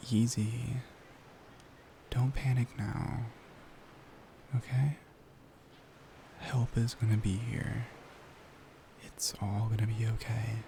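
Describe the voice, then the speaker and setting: A man playing a caring, worried boyfriend in an intimate ASMR roleplay, speaking softly and soothingly, often near a whisper. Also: medium pitch, slight background noise. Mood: reassuring, tender. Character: caring, worried young boyfriend comforting his partner.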